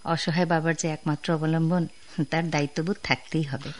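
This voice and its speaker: Actress as low-voiced, devoted young daughter. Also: female voice, little pitch movement, brisk, natural voice. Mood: polite, earnest. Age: young adult. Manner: earnest, caring, firm. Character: devoted, dutiful, firm young working daughter. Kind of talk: radio drama acting.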